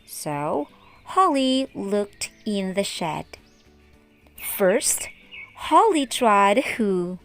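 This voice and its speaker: A woman teacher narrating a children's storybook aloud in a deliberate, expressive way. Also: medium pitch, soft background music. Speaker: teacher reading stories aloud.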